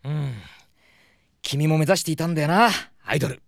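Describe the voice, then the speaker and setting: A man voicing a gentle, timid, polite young man in a Japanese audio drama, boyish and hesitant. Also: fairly high pitch, fairly quick, gravelly, dry recording, clean studio sound. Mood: earnest. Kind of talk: Japanese anime-style audio drama acting.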